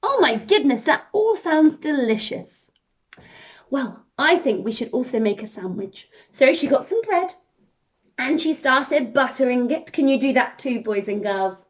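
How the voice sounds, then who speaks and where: Female children's entertainer, high register, slow delivery, expressive interactive storytelling with encouraging prompts to kids.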